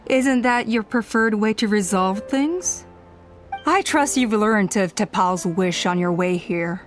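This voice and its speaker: Brief: middle-aged scheming elder in a game, slightly high voice, calm persuasive command. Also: female voice. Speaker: tribe elder.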